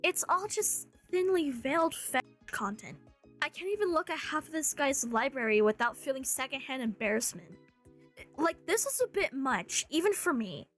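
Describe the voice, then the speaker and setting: A woman's voice: high-pitched, sing-song, moderate pace, faint music behind. A woman delivering a scripted commentary rant in a sarcastic, joking manner, as an online video host. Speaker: commentary YouTuber.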